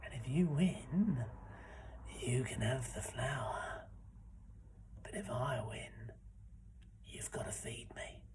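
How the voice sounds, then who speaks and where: A storyteller voicing a small, creepy old clawed creature in a told tale, speaking in a slow, whispery voice. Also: mid-range voice, lively intonation. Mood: menacing. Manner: slow, drawn-out menace.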